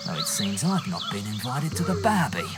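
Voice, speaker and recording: A man's voice: on the low side, big pitch swings, a little fast, over loud music. An actor playing a wounded, laconic male soldier with a wry manner in a video game cutscene. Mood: grim, wry.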